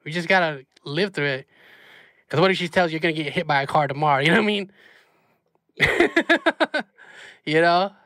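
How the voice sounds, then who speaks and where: A man hosts a relaxed chat, his voice bright and a touch high. He rambles with fillers and laughs easily, recorded dry and close. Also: normal speed, long pauses, dry recording. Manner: laid-back, many fillers, laughs often. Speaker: podcast host. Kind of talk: casual chat.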